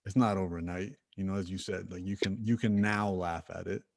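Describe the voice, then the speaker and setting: A man's voice: low voice, steady tone, brisk, gravelly, darkish tone. A man hosting a podcast conversation, thinking aloud in a reflective way and leaning on lots of "you know" and "like". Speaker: podcast host. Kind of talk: conversational interview.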